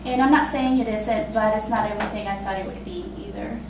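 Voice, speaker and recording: A woman in her twenties from Costa Rica, telling her own story in a casual, halting way with many fillers and laughs. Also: mid-range voice, heavy reverb, far from the mic, noisy background. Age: 20s. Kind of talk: personal spoken testimony.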